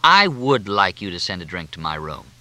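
A man voicing a cool male newspaperman who wisecracks in a dry, flippant, deliberate drawl. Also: low voice, very animated, pushed and bright, dry recording, full character voice.

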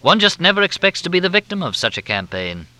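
A man's voice: high voice, sing-song, quick pace, pushed and bright, dry recording, pitched above the performer's own voice, exaggerated voice. A man doing a bitter old journalist. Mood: rueful. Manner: weary, bitter.

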